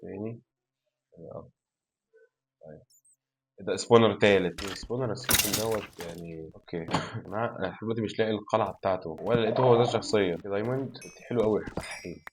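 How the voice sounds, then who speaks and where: A man with an Egyptian Arabic accent giving let's-play commentary to his viewers. He is tired and has a sore throat, and he sounds chatty, excitable and exasperated. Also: lowish voice, fast, background noise. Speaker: gaming channel host.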